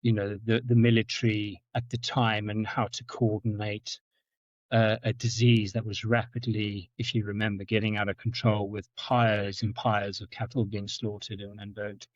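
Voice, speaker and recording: A middle-aged man with a Zimbabwean-South African accent, telling stories as a podcast guest, often repeating words and jumping up in pitch for anecdotes. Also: level tone, normal speed, no echo. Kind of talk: storytelling in conversation. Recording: dull, dry lo-fi sound.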